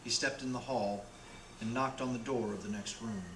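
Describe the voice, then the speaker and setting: A man's voice: mid-range voice, steady tone, moderate pace. A man narrating a radio play in a dramatic storytelling style. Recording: roomy with clear background noise.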